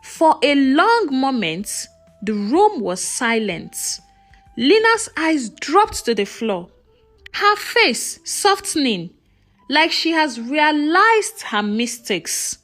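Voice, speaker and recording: A woman reading a scripted folktale as a storyteller, in an expressive, dramatic way. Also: sits high, takes it slowly, faint music behind.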